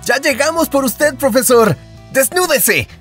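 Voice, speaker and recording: A man playing an excitable, scheming, upbeat teenage hero student in a comic fandub, eager and wheedling. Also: very high-pitched, unhurried, over music. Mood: confident.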